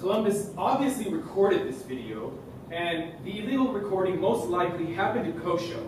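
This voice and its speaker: An actor playing a suspicious, dogged police detective in a theatrical monologue. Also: male voice, high-pitched, lively intonation, brisk, noisy background. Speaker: stage actor. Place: theater stage, distant microphone. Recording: echoey theater, distant mic, loud music.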